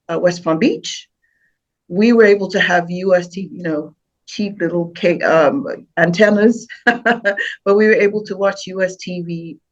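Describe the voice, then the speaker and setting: Bahamian woman telling stories, lo-fi. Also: fairly low pitch. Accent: Bahamian with American touches. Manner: warm storytelling, laughs often, many restarts. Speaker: guest singer. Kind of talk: casual interview.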